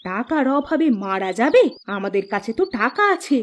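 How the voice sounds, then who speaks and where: A woman's voice: fairly high pitch, animated, normal speed, lightly acted. A woman voicing a kind-hearted, firm village wife in a cartoon, speaking in an insistent way. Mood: indignant, resolute. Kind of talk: Bengali cartoon voice acting.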